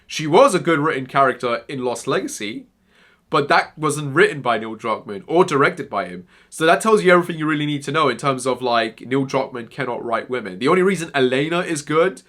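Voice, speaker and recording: Young male commentator with a bright, tense voice and a rambling, emphatic delivery. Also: mid-range voice, lively intonation, a little fast. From Germany-born Iranian, raised in England. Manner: rambling, repeats words, emphatic. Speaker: gaming commentator. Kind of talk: opinionated casual commentary.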